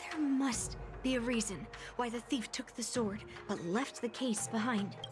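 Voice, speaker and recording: A woman's voice: a little high, measured pace, over music, natural voice. An actress playing a stubborn, inquisitive young swordswoman in a video game. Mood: determined, puzzled.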